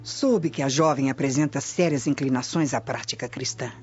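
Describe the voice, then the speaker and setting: Low, scheming Roman lady. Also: moderate pace, a little mellow. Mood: suspicious, intriguing. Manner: conspiratorial, probing. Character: scheming, jealous Roman wife intriguing with servant. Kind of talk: radio drama acting. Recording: dull lo-fi radio, faint music.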